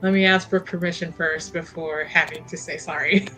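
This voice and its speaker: A woman's voice: lowish voice, fairly even intonation, moderate pace, fairly bright tone, soft background music, rough recording. A woman who is a player in a tabletop game, chatting casually and improvising narration.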